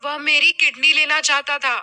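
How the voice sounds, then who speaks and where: A woman's voice: high-pitched, little pitch movement, normal speed, sharp tone, faint music behind, pitched above the performer's own voice. A woman voicing a vain, spoiled young daughter in an audio drama, with a whiny, indignant, dramatic manner. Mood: whiny complaining.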